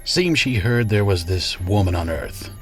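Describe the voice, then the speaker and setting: A man's voice: on the low side, sing-song, faint music behind. A man playing a domineering, menacing scientist tycoon in a radio drama, speaking in an imperious, sardonic way. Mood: ominous storytelling. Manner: imperious, deliberate storyteller, sardonic.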